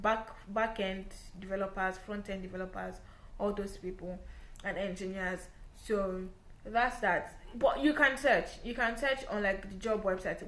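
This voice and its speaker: A woman's voice: medium pitch, fairly bright tone. A young woman from Nigeria with a Nigerian English accent, chatting casually to camera as she explains things step by step. She repeats her points and often checks in with "right?". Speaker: vlogger giving visa advice. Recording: roomy echo.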